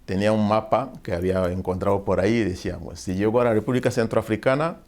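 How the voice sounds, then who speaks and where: Priest from Rwanda, forties, very low voice, reflective testimony told with pauses. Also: male voice, a little mellow. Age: 40s. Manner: reflective storytelling, pauses to search for words. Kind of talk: interview guest recounting his life.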